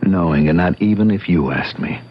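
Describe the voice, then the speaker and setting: An actor playing an earnest, stubborn young American bachelor in an old-time radio drama, explaining himself emphatically. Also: male voice, deep voice, moderate pace, slight background noise, natural voice. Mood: stubborn resolve. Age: young adult. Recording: muffled radio drama recording.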